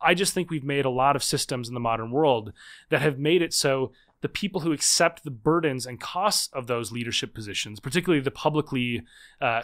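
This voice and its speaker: A man in his thirties, a guest author in a conversational interview, explaining in quick, animated bursts with frequent restarts. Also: mid-range voice. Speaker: guest, political scientist and author.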